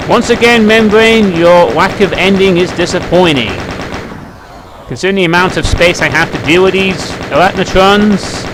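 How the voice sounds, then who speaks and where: A man's voice: a little high, background noise. A man giving casual video game commentary, thinking aloud in a musing, dryly critical way. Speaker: let's play host. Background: music behind the voice.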